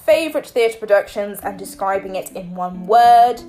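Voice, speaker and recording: A woman hosting her own podcast in a chatty, playful way, her voice a little croaky from a cold. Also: mid-range voice, expressive, normal speed, mellow, faint music behind, rough recording. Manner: chatty and playful, teasing listeners. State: hoarse from a cold. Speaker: podcast host. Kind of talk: solo podcast talk.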